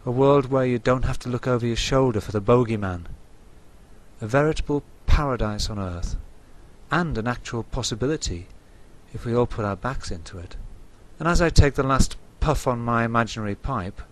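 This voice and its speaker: A man's voice: mid-range voice, normal speed, low-quality recording. A man delivering a reflective, eloquent scripted monologue to viewers, with a wry and rhetorical manner. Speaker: video blogger.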